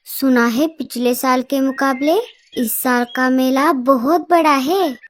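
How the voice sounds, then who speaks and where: A woman's voice: high voice, slow, a little hiss or hum. A woman voicing an eager, naive little boy bird who pleads and exclaims in a children's cartoon story. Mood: excited. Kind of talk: Hindi cartoon story dialogue.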